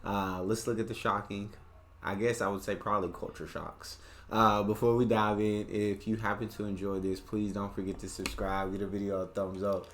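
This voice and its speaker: American dude doing reaction vids, kinda low voice, slangy and chill, bit of hiss behind. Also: male voice, little pitch movement, normal speed, fairly bright tone. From United States. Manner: laid-back, slangy, chatty. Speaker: reaction channel host. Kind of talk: casual reaction commentary.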